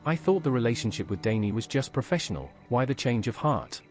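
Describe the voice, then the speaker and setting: Man voicing an expressive, earnest adventurer hero. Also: medium pitch, a little fast, soft background music, lightly acted. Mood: curious. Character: resolute male adventurer hero taking on rescue quests. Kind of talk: AI-voiced video game player dialogue.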